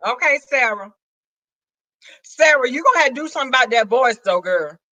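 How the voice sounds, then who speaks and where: Brief: Southern woman live stream host, sassy and teasing, high bright voice. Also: lively intonation, a little fast, dry recording, rough recording. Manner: sassy, teasing, calls others "girl" and "child". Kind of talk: casual banter on a live stream.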